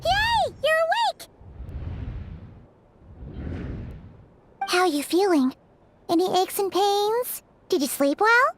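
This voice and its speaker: A woman voicing a tiny, excitable, doting fairy companion in an anime-style video game, squeaky and childlike, exclaiming and naming herself in the third person. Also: a little slow, faint music behind, exaggerated voice. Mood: excited. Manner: exclaims and refers to herself by name.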